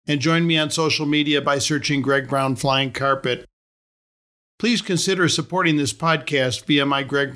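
A middle-aged man reading his own story aloud as a podcast host, in a measured, wry storytelling manner. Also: a little high, bright, forceful tone. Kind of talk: scripted storytelling narration.

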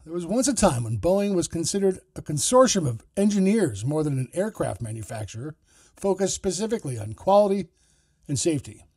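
A man hosting a news podcast, reading a scripted report in a measured, explanatory way. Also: fairly high pitch, sing-song, mellow, husky, dry recording, studio quality.

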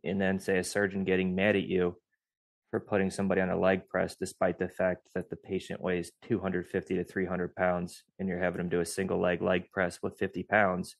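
Man, very low voice, gravelly texture, dry close sound, technical explainer, podcast co-host. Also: completely flat delivery, brisk, no echo. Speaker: podcast co-host, physical therapist. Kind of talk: casual podcast discussion.

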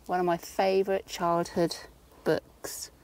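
A woman's voice: lowish voice, little pitch movement, takes it slowly, vocal fry, a little mellow. A woman narrating a casual nature walk vlog outdoors, musing in short phrases full of wonder. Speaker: vlogger. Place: outdoors in woodland.

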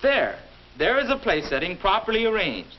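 A man's voice: high-pitched, wildly swooping pitch, moderate pace. An actor playing a confident young man of twenty-one, patiently teaching in the scripted style of a 1950s instructional film. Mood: brisk, encouraging. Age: young adult. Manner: didactic, crisp scripted reassurance. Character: confident 21-year-old man, patient mentor to his younger self. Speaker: mentor and explainer. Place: indoor film set. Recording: dull, noisy old 1950s film soundtrack.

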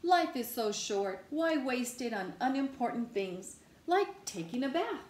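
A stubborn pigeon from a storybook acted out by a woman, highly animated and high in pitch. He is defensive and theatrical, stretching out his words in mock indignation. The room adds a noticeable echo. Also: a little slow. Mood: indignant, defensive. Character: stubborn, defensive, dramatic male pigeon from a picture book. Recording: roomy indoor echo.

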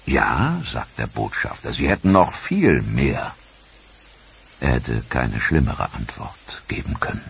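A man narrating a documentary in a dramatic, expressive scripted manner with rhetorical questions. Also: a bass voice, takes it slowly, creaky, a little hiss or hum.

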